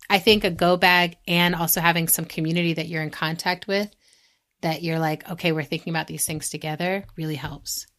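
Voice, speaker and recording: A woman's voice: lowish voice, fairly even intonation, fairly quick, fairly bright tone. An American woman talking casually and reflectively as a podcast co-host, giving advice and often voicing imagined quotes.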